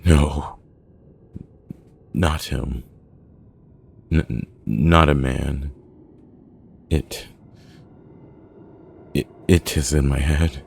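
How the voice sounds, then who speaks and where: A man's voice: rumbling low, fairly even intonation, takes it slowly, gravelly, breathy edge, heavily acted. A man playing a frantic, broken trapped man in a horror audio log, crying and stammering as he pleads. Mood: sobbing, pleading. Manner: stammering, pleading, repeating words. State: crying, panicking.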